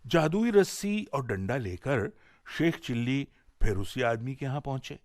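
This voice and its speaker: A man's voice: mid-range voice, unhurried, no echo, studio quality. A man with an Indian accent narrating a folk tale expressively as a radio storyteller, in a dramatic scripted style. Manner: dramatic, animated storytelling delivery. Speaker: storyteller and presenter on a radio show.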